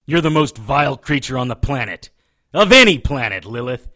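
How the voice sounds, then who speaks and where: An actor playing a defiant, wisecracking hardboiled detective with a sardonic manner in an audio drama. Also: male voice, medium pitch, very animated, moderate pace, pushed and bright. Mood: angry, accusing.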